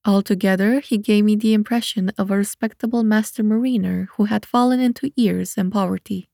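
A woman's voice: mid-range voice, fairly even intonation, a little fast, vocal fry, mellow, no room sound. Dry, close-recorded woman narrator. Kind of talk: scripted audiobook narration.

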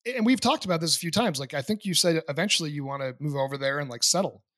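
A man's voice: fairly high pitch, fast talker, a bit bright, no echo, clean studio sound. A man hosting a podcast interview, asking rambling, chatty questions. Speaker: podcast host. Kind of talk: casual interview.

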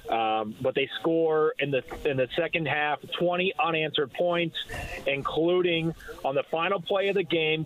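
A man, excitedly retelling a football game's finish as its broadcaster. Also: fairly high pitch, narrow, tinny audio. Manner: excited, fast-moving play-by-play retelling. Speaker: play-by-play broadcaster. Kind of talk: radio game recap.

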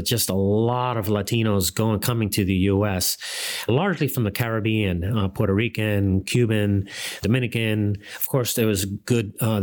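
A man in his fifties from Ecuador, a seminary vice president telling his life story in an interview, measured and full of fillers and restarts. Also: on the low side. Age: 50s.

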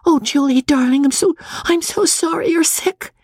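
A woman voicing an eager, affectionate little girl with a sharp child's voice in an audiobook story. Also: sits high, normal speed, no room sound, voice pushed up, close to an everyday voice. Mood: contrite.

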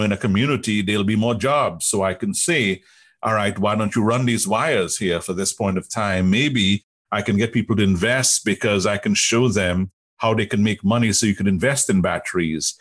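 Male interview guest, Trinidadian accent, lower register, rough husky tone, emphatic conversational explainer. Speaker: interview guest, technology executive.

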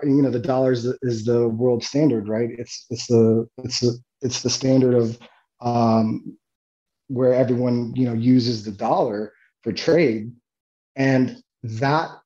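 A man guest in a casual podcast conversation, talking in halting bursts with many restarts. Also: medium pitch, mellow, muffled recording.

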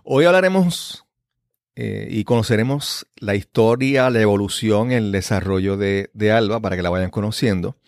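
A man's voice: on the low side, moderate pace, darkish tone, no room sound, studio quality. A man hosting a podcast, introducing and interviewing in a conversational way. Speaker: podcast host.

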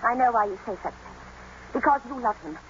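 A woman's voice: a little high, animated, a little fast, fairly bright tone, slight background noise. Haughty young lady, voice swoops all over, heated old radio drama, muffled sound. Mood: defiant, impassioned. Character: defiant, impassioned young lady in love. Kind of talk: 1940s-50s radio drama acting.

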